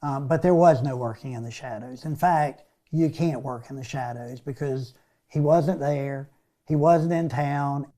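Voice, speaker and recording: A man's voice: medium pitch, measured pace, dark tone. A man speaking as an attorney in a news interview. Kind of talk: interview statement.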